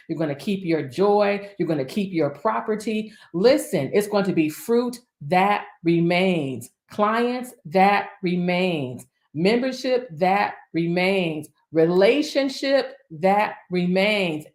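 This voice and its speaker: A woman in her fifties, a minister, preaching a decree to online viewers in rhythmic repeated lists with chatty asides. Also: mid-range voice. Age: 50s. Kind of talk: livestream prophetic decree. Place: office. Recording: echoey room.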